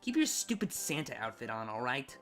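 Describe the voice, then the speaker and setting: A man's voice: medium pitch, sing-song, fairly quick, fairly bright tone, soft background music, voice pushed down. A man voicing a grumpy, scornful young female cartoon pony in a fan story reading, full of sarcastic grumbling. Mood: irritated grumbling.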